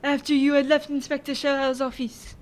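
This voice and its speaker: A woman's voice: high voice, little pitch movement, fairly quick, close to an everyday voice. A woman playing a stern, authoritative French prefect in a radio drama, putting questions in a formal, clipped way. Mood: probing.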